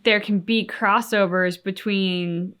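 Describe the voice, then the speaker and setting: A woman's voice: mid-range voice, fairly even intonation, slow pace, fairly bright tone, smooth. A woman co-hosting a reaction show, chatting casually in a musing, questioning way. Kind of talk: casual chat reacting to game cutscenes.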